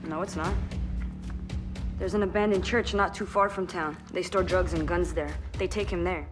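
Actress as low-voiced, hardened avenger woman. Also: fairly even intonation, normal speed, music in the background, close to an everyday voice. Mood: insistent. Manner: blunt, stubborn, cool. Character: determined, hardened young woman seeking revenge. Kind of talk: video game cutscene acting.

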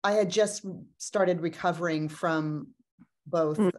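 A young adult woman with a slightly low voice talks casually on a video call. She speaks as an actor and sound designer in a group discussion. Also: slow. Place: plain room indoors.

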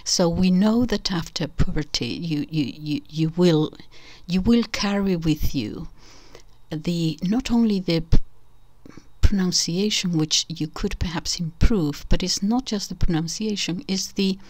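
An older woman with a Spanish accent, speaking in a discursive, casual way to her online audience, restarting and correcting herself and often dropping to a soft whispery aside. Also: on the low side, unhurried. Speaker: channel host.

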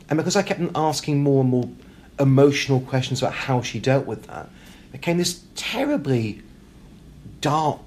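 Man, author interview, animated and reflective, emphatic, acts out imagined quotes, faint background noise. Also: mid-range voice. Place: hotel.

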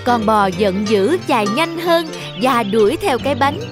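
A woman narrates a scripted story for children in a fairly high voice. Jingly children's music plays clearly behind her. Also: expressive, a little slow.

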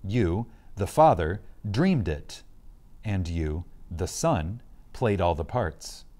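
A man giving a reverent, scripted reading of a spiritual lecture, solemn and emphatic, with deliberate pauses. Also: sits low, lively intonation, warm tone.

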